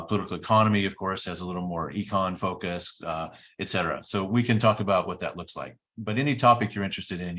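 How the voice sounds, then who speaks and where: A man's voice: deep voice, a bit bright. A middle-aged man giving an informational presentation about a study program, explaining step by step with frequent fillers. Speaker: program director.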